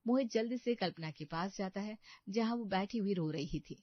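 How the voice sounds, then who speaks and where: A woman's voice: mid-range voice, normal speed, no room sound. A woman reading scripted narration for a cartoon story. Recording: muffled dry close recording.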